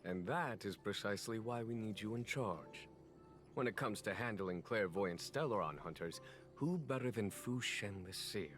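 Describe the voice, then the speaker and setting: A man voicing an easygoing male general who talks in a smooth, playful, persuasive way, in a game cutscene. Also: fairly low pitch, expressive, moderate pace, a bit bright, soft background music. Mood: genial.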